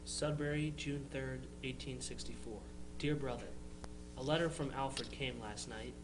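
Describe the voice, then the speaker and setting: A man's voice: mid-range voice, unhurried, natural voice. A man as an earnest 1860s brother, noisy recording. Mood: plain, hopeful. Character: earnest young 1860s New England brother writing home. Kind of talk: dramatized reading of historical letters. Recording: noisy live room recording with hum.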